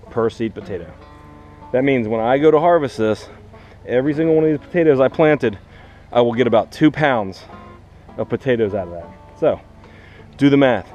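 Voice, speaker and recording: A man's voice: mid-range voice, a little mellow. A man hosting a casual how-to vlog, talking directly to viewers and explaining step by step like a teacher. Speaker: vlog host, teacher and gardener. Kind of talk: casual instructional vlog talk.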